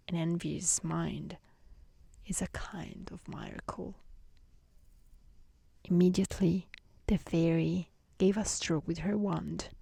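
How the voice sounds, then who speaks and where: A woman reading a fairy tale in a soft, breathy, near-whispered ASMR style with a gentle, soothing manner. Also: lowish voice, dry recording.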